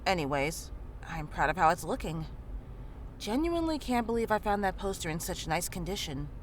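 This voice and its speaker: A woman's voice: on the low side, very animated, normal speed, a little hiss or hum. A woman playing a casual, matter-of-fact, caring medic in a visual novel game. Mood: cheerful, casual. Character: frank, caring female medic in a grim world. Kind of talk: visual novel character dialogue.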